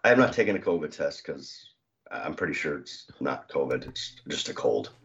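A man's voice: rumbling low, sing-song, normal speed, fairly bright tone, lo-fi sound. A man co-hosting a podcast with a stuffed-up cold voice, making dry, easygoing quips. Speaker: podcast co-host. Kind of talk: casual chat.

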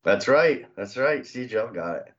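A man's voice: mid-range voice, lively intonation, measured pace, highs cut off. A man co-hosting a podcast with a stuffed-up cold voice, making dry, easygoing quips. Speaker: podcast co-host. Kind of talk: casual chat.

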